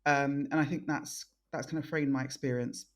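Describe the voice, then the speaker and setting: A middle-aged woman born in Nigeria and raised in London, giving thoughtful interview answers as a guest, with frequent pauses and restarts. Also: very deep voice, fairly even intonation. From born in Nigeria, raised in South East London. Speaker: guest, think tank director.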